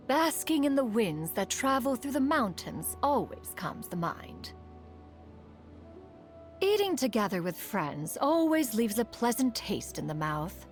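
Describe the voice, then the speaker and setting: A woman playing a proud, refined female immortal in a video game, speaking in a formal, stately manner, aloof yet fond beneath it. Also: medium pitch. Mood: serene. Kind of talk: scripted video game character monologue.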